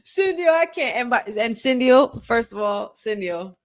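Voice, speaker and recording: A woman co-hosting a live wrestling watch-along, chatting casually and laughing often. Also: high-pitched. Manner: animated, chatty, quick to laugh.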